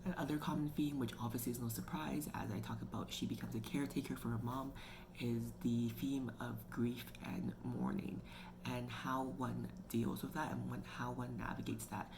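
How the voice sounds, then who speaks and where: A woman's voice: very deep voice, steady tone, normal speed. A woman hosting her own book review channel, talking casually and chattily with lots of "like" and "you know". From Canada. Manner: chatty, filler-heavy with frequent "like" and "you know". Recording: noticeable background noise.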